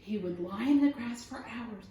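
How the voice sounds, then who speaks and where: A woman teacher reading a picture book aloud to young children, expressive and pausing to question and point things out. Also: on the high side, recorded from a distance. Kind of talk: animated read-aloud storytelling for young children. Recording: roomy, echoey room sound.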